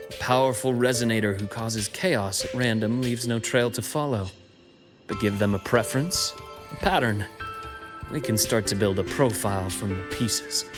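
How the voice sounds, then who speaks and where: A man playing a composed, cerebral investigator in a game, speaking in measured, deliberate, faintly wry phrases and nursing a quiet old hatred. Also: mid-range voice, lightly acted. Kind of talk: scripted game story dialogue with exposition. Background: background music.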